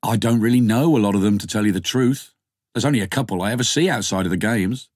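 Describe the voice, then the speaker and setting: A man's voice: fairly low pitch, fast, no room sound, studio quality, lightly acted. A man voicing a keen, slightly awkward thirties male doctor in an audiobook, chatty and tentative. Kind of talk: audiobook character dialogue.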